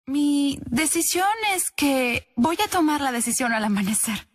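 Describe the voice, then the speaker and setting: A woman's voice: high-pitched, animated, unhurried, no room sound, clean studio sound. Hesitant young cartoon queen. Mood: unsure, firm. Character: grieving, unsure young queen trying to be firm. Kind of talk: Spanish-dubbed cartoon acting. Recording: loud music over the voice.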